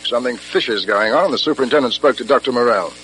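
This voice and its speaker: A man's voice: mid-range voice, racing, a bit bright, close to an everyday voice. A man playing a dutiful, matter-of-fact police officer in an old British radio mystery, with a British accent. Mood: dutiful, businesslike. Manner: matter-of-fact, questioning, deferential to superiors. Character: plain-spoken, dutiful male police officer. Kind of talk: old-fashioned British radio drama acting. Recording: noisy vintage radio recording with hum.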